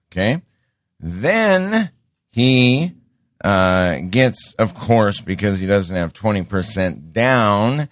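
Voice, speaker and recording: A very deep-voiced middle-aged man hosts his show in a slow, unhurried ramble, circling back and repeating words as he interrupts himself. The sound is close and dry, with no room around it. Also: fairly bright tone, no echo. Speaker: show host. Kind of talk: casual monologue.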